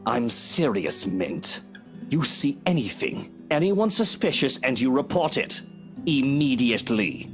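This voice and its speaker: An actor playing an arrogant, smug mansion owner in a video game, haughty, commanding and clipped. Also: male voice, medium pitch, very animated, unhurried, faint music behind. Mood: stern, suspicious. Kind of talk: visual novel voice acting.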